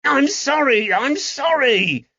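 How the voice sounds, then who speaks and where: A man's voice: very high voice, expressive, slow, bright, forceful tone, dry recording, lo-fi sound, pitched above the performer's own voice, full character voice. A man voicing a snivelling, indignant Cockney moneylender in an audiobook, whining and pleading defensively. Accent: London Cockney. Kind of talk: audiobook character acting.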